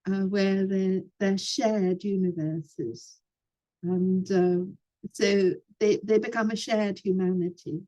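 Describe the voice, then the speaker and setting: An older woman, a former professor, reminiscing in an unhurried conversation with frequent pauses and fillers. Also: medium pitch, mellow, low-quality recording.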